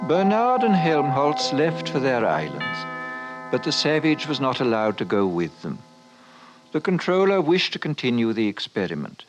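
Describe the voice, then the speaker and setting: A man's voice: medium pitch, wildly swooping pitch, normal speed, music in the background. A man narrating a 1950s radio drama as a scripted storyteller. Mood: grave narration. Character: male storyteller narrating a dystopian radio play.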